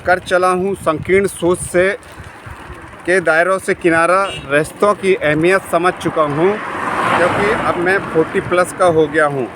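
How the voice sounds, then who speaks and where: A man in his forties, a poet, reciting his own verses in a measured, rhythmic way, pausing between lines, while riding a bicycle outdoors. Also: high-pitched, steady tone, background noise. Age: 40s. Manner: measured, rhythmic reading with pauses. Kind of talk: poetry recitation while cycling.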